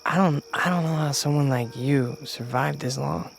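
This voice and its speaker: Earnest young alien, music behind. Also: male voice, on the high side, vocal fry. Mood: earnest, troubled. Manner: earnest, halting. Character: young male alien invader posing as human, conscience-torn. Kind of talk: radio drama acting.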